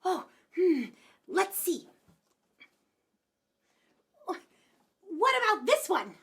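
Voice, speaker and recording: A woman's voice: extremely high pitch, sing-song, unhurried, fairly bright tone, heavily acted. A woman voicing a timid, nervous little woodland animal in a children's puppet show, shy and hesitant. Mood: tentative.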